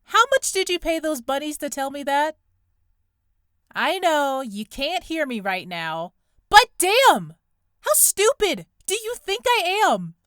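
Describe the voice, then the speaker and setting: A woman's voice: high-pitched, a bit bright, no room sound, studio quality, exaggerated voice. A woman voicing an exasperated young woman who scolds and frets over a reckless friend. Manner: scolding, emotional.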